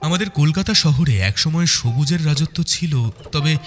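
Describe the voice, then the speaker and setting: A man's voice: fairly high pitch, moderate pace, in a large hall, faint music behind. A man narrating a detective audio story in a dramatic, scripted first-person style.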